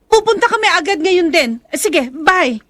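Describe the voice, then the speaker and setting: A suspicious, impatient wife, talks in a high voice that leaps up and down with melodramatic radio flair. She is sharp-tongued, with faint noise behind her. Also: expressive, measured pace, exaggerated voice. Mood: alarmed. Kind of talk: melodramatic radio drama acting.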